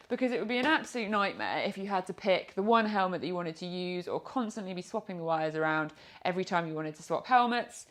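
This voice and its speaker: A woman with a British accent giving an informal product review in a chatty, explanatory way. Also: mid-range voice. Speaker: product reviewer and motorcycle vlogger.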